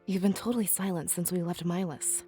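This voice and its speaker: Woman playing candid, wry fantasy adventuress, lowish voice. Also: fairly even intonation, fairly quick, warm tone, faint music behind, natural voice. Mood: wry, probing. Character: candid, inquisitive female fantasy adventurer with dry wit. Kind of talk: visual novel voice acting.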